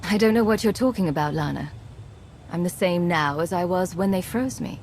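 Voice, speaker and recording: Game heroine, commanding agent, played by a woman: lowish pitch, matter-of-fact, self-reliant, light noise behind. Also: normal speed, a little mellow, lightly acted. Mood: guarded. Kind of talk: video game voice acting.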